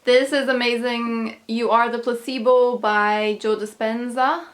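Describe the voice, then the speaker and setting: Young Scottish woman, fairly high voice, chatty vlogger talking straight to camera, bright and easygoing. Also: flat delivery, a little slow. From Scotland. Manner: chatty, upbeat, laughs between thoughts. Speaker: YouTube vlogger. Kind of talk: casual talk to camera.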